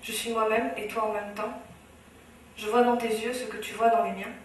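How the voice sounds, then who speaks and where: A woman's voice: a little high, flat delivery, normal speed, slight background noise. A Moroccan woman on stage delivering a rhythmic, impassioned spoken-word slam in a large hall. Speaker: speaker. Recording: very echoey hall, from far off.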